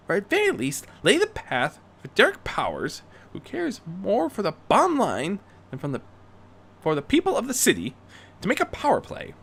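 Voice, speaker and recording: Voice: mid-range voice, a bit bright, husky, pitched above the performer's own voice, full character voice. A performer voicing a young female unicorn vigilante, refined and determined, speaking in an earnest, theatrical, articulate way for a fan fiction reading.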